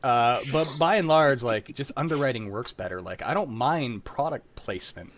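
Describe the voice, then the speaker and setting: A man's voice: mid-range voice, normal speed, slight background noise. A young man chatting casually in an informal, slangy way with a group. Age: young adult. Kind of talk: casual group chat after a show.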